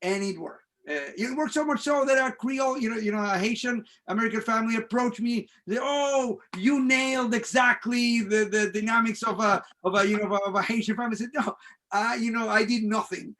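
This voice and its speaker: High-voiced man. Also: fairly bright tone. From Venezuela. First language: Spanish. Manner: halting, many restarts and fillers. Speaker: playwright and director. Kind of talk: panel discussion.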